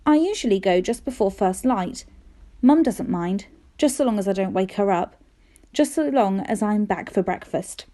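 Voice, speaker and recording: A woman reading a children's storybook aloud in an expressive, careful storytelling manner, with dramatic emphasis. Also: medium pitch, moderate pace. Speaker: teacher reading aloud to pupils.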